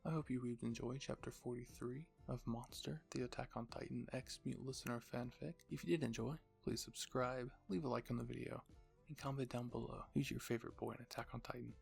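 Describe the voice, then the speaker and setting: A man narrating scripted fan fiction as the host, with a gravelly, husky voice. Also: medium pitch, fairly even intonation, a little fast, soft background music.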